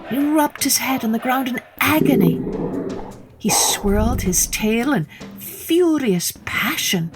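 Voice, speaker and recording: Slow, theatrical woman storyteller. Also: a little high, lively intonation, background noise. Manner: theatrical, drops to breathy hushes, questions the listener. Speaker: storyteller, podcast host. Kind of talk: dramatic children's storytelling narration.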